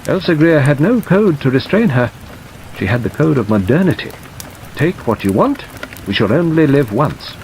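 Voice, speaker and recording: A man playing a worldly, sentimental elderly male lawyer in an audiobook, declaiming melodramatically with sighs and pauses. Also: medium pitch, voice pushed up, low-quality recording. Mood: melodramatic, wistful. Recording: steady rain noise over narration.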